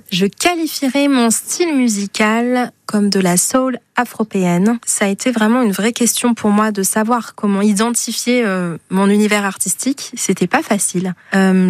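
A woman's voice: mid-range voice, normal speed, no echo. A woman singer-songwriter talking spontaneously about her own music, reflective and searching for her words. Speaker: singer-songwriter being featured.

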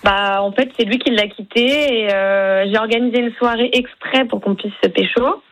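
A woman's voice: fairly high pitch, normal speed, heavy background noise. Hesitant woman caller on a radio game. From Paris. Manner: hesitant, many fillers. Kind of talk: phone-in chat.

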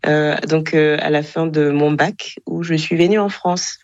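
A young woman from Rwanda, guest on a radio interview, who speaks hesitantly with many fillers and soft laughs. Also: sits low, steady tone, unhurried, dry recording, muffled recording.

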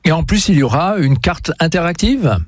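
A man hosting a local radio show, introducing the guest and asking brisk questions. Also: on the high side, animated, no echo. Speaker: radio host. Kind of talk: local radio presenting and interviewing. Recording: dry studio with faint music.

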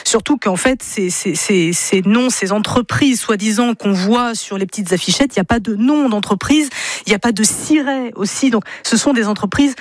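A woman's voice: mid-range voice, moderate pace, no echo. A woman giving practical consumer advice as a regular radio columnist in a studio. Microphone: studio microphone.

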